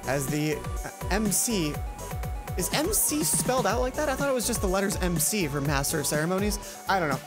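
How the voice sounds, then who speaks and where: A woman reading a visual novel aloud as a let's play host, expressive and given to puzzled asides. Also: lowish voice, fairly quick, over music. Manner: expressive storytelling, self-questioning asides. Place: indoor gaming commentary recording with loud game music behind.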